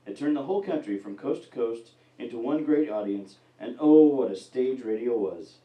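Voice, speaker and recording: A man's voice: medium pitch, animated, normal speed, echoey room, phone-quality sound. A man delivering a grand, nostalgic radio-style announcement.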